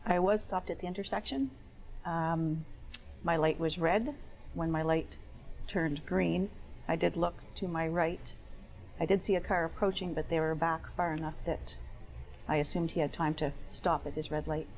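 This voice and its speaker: A woman's voice: sits low, steady tone, normal speed, a little mellow, rough recording. A woman playing a plain-spoken accident claimant, answering questions as a witness in careful, understated, slightly hesitant statements. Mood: careful, subdued. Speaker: witness in a dramatized discovery. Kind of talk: testimony, short factual answers.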